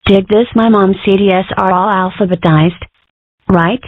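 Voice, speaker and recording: A woman voicing a mischievous, excitable little girl in a cartoon comic dub, boastful about her petty schemes. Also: medium pitch, normal speed, natural voice. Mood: gleeful scheming. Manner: gleeful, theatrically boastful. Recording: dry, narrow-band phone-like sound.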